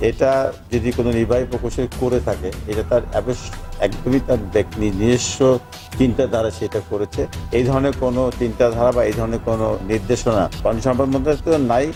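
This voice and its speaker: Fast-talking Bangladeshi man, music behind. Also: medium pitch. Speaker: government official being interviewed. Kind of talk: unscripted interview answers. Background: background music.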